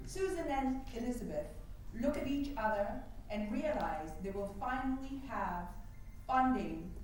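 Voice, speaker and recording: Slow, distant woman reading stage directions. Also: a little high, expressive, darkish tone. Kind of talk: scripted narration. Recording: distant, very echoey hall, faint noise.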